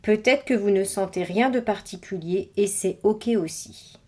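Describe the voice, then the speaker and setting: A woman leads a guided body scan as a meditation guide. Her voice sits at a medium pitch. She gives scripted instructions in a measured way, pausing between phrases. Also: darkish tone.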